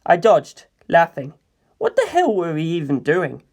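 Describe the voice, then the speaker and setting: An audiobook narrator with a mid-pitched voice that moves up and down in a lively way. The delivery is expressive and theatrical, telling a story in the first person. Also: a little slow.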